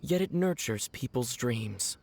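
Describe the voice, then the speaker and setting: An actor playing a calm young traveler who recites poetry in a video game. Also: male voice, mid-range voice, measured pace, vocal fry, slight background noise. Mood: calm, reciting.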